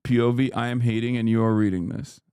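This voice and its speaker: A man in his thirties from Turkey, streaming and riffing on chat messages in a loud, joking, slangy way with plenty of swearing. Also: medium pitch, level tone, fast, clean tone, dry recording, studio quality. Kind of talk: casual livestream chat.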